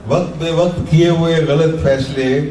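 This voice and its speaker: A man reciting his own free-verse poems live, in measured, deliberate phrases with pauses and repeated lines, in a roomy recording from off the mic. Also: fairly high pitch, steady tone, crowd noise. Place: literary festival session, recorded live. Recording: roomy, distant-miked live event recording.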